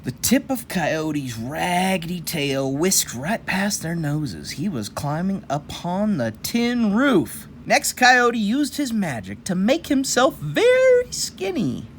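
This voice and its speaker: A woman hosts a children's read-aloud in a lowish, very lively voice. She takes the story slowly and slips into chatty explanations for young listeners, with some faint noise behind her. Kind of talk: expressive storytelling with chatty asides.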